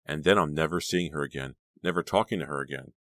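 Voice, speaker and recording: A man's voice: very deep voice, fast, a bit bright, no room sound, studio quality. A man voicing a sad, drowsy teenage girl in an audiobook, timid, weary and plaintive. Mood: confused.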